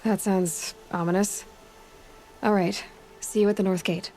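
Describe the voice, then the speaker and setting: A woman voicing a young outcast hunter in a game, her voice mid-pitched and clear. She is direct, curious and a bit wry, with soft music behind. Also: steady tone, unhurried, natural voice, low-quality recording. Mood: earnest. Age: young adult. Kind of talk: video game cutscene acting.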